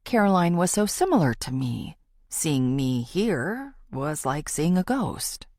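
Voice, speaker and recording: A woman's voice: deep voice, big pitch swings, takes it slowly, mellow, no echo, clean studio sound, exaggerated voice. A woman voicing a suspicious, hurt woman who confronts her best friend with pointed demands in an audiobook.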